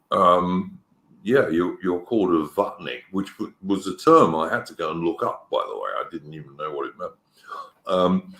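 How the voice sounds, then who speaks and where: Deep-voiced guy ranting casually on a podcast, trips over his words, echoey room. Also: fairly bright tone. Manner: opinionated, rambling with many restarts. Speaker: podcast co-host. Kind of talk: casual political chat.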